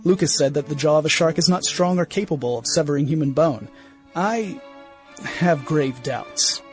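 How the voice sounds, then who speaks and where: An actor playing an irritable, self-important 1910s museum scientist, delivering a brisk, opinionated diary monologue in a radio play. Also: male voice, on the high side, close to an everyday voice. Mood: self-important, skeptical. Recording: music bed under the voice.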